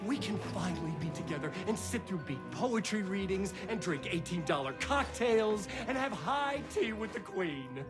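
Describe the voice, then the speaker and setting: A man's voice: high-pitched, big pitch swings, moderate pace, few pauses, over music. A man playing a cocky, taunting evil twin in a video game, with a theatrical, mocking delivery. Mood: mock pleading. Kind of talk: hammy game villain acting.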